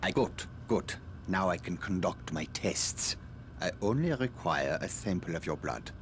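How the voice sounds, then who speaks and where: An actor playing an evasive, self-justifying scientist in a video game, speaking formally and precisely with polite condescension. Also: male voice, low-pitched, expressive, measured pace, a little hiss or hum. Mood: coaxing. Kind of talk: dramatic video game cutscene acting.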